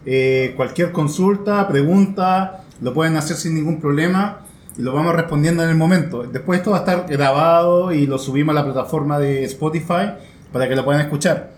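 A man's voice: on the high side, fairly quick. A Chilean man hosting a casual podcast, chatting in loose slangy banter with laughs. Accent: Chilean Spanish. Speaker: podcast host. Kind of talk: casual chat. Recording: echoey room with faint noise.

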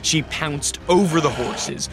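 A man's voice: a little high, slow pace. A man narrating a gothic adventure radio drama in a solemn, literary, dramatic manner.

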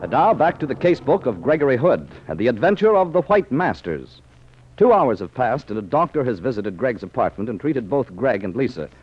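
A man's voice: mid-range voice, very animated, a little fast, a little hiss or hum. A man announcing in 1940s radio style, with enthusiastic salesmanship. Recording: muffled 1940s radio recording.

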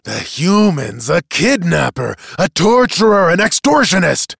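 A man acting a furious, high-pitched accusing clan leader. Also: very animated, measured pace, gravelly, fairly bright tone, husky, no room sound, studio quality, exaggerated voice. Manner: explosive, accusing, spitting out words. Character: hot-tempered, scornful male clan leader, vindictive accuser.

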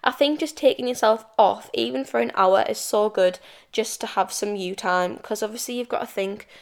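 A woman's voice: medium pitch, moderate pace, vocal fry. A young British woman hosting her own podcast, chatting casually and rambling with restarts and stumbles. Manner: chatty, rambling, self-described stuttering and babbling. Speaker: podcast host. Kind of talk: casual solo podcast chat.

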